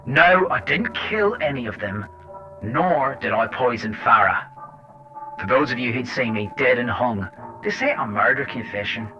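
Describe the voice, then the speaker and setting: A man's voice: mid-range voice, animated, measured pace, close to an everyday voice. Muffled, wry male agent in radio drama, played by an actor. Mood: defiant. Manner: colloquial, wry, swearing. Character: sardonic, hot-tempered male agent recounting his ordeal. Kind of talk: first-person scripted drama narration. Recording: dull lo-fi cassette with music.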